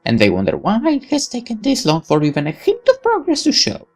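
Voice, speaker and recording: A man's voice: high-pitched, a little fast, faint music behind. A man reading a visual novel's text aloud as dramatic, sardonic first-person narration. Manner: expressive, sardonic. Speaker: let's player reading game text.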